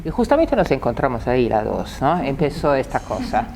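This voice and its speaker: A woman's voice: very deep voice, sing-song, fairly quick, vocal fry, a little mellow, slight background noise. A woman in her sixties, a native Italian speaker with an Italian accent, giving a public talk and often repeating words as she searches for them. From Italy.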